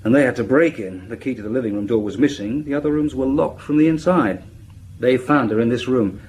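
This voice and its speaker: An actor plays a diligent male police inspector in a radio drama. His mid-range voice reports in a plain, conversational manner with small thinking pauses, over faint background noise. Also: mid-range voice, darkish tone, close to an everyday voice. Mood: considered. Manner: hesitant pauses, matter-of-fact reporting.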